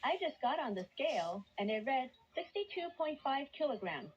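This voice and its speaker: A woman's voice: sits high, sing-song, moderate pace. A woman playing a dieting sister in a scripted radio joke skit, on shortwave. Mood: matter-of-fact. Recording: dull shortwave radio broadcast.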